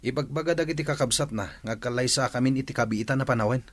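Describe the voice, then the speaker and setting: A man's voice: medium pitch, fast talker, dry recording, lightly acted. Man acting a troubled young student, dry sound. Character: troubled young male student facing fatherhood. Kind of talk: Ilocano radio drama acting.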